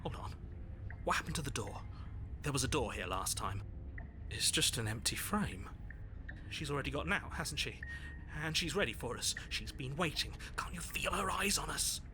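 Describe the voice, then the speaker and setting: An actor playing a paranoid, fearful voice inside a game hero's head, tense, urgent and often near a whisper. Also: male voice, medium pitch, expressive, normal speed, background noise, natural voice. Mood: dread, panic. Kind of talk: visual novel character acting. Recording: music behind the voice.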